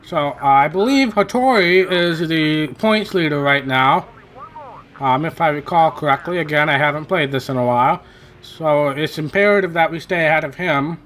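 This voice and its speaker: Guy narrating his racing game run, kinda high voice, rambly, voice a bit worn out. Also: normal speed, a bit bright, a little hiss or hum. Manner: rambling, self-correcting, chatty. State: voice worn from hours of talking. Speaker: gaming channel host. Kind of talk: casual racing gameplay commentary.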